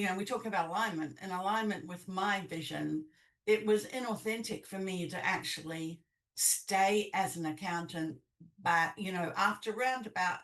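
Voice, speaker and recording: An older woman with a New Zealand accent speaks as a guest in a conversational interview. Her pitch is average for a woman. She sounds set back from the microphone in an echoey room. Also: fairly bright tone. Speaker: podcast guest, business coach. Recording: echoey room, distant mic.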